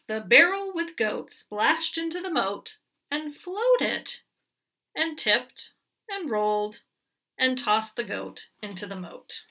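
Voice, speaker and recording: A woman teacher reading a children's picture book aloud with expressive, rhythmic storytelling. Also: a little high, distant mic.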